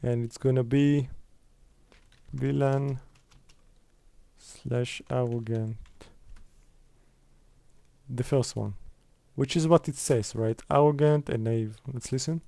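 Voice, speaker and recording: A man's voice: medium pitch, fairly even intonation, slow pace, gravelly, pauses often, dark tone, no room sound. A man presenting a casual step-by-step screen tutorial in a conversational way.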